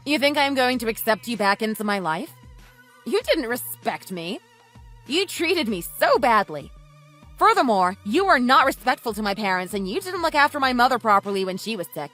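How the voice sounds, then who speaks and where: Actress doing a fed-up angry wife in an audio drama, cold and scornful, really animated pitch. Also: female voice, moderate pace, faint music behind. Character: angry, resolute, scornful wife divorcing her husband. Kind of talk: dramatized story dialogue.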